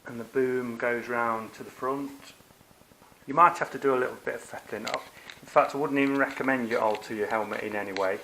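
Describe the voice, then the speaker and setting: A roomy, noisy recording of a man talking some way from the mic. He explains a practical job in a chatty, colloquial way, like an informal how-to video. His pitch is average for a man. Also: fairly even intonation, fairly quick, bright, forceful tone. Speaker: motorbike rider presenting a how-to video. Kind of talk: casual hands-on tutorial. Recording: roomy, distant mic, clear background noise.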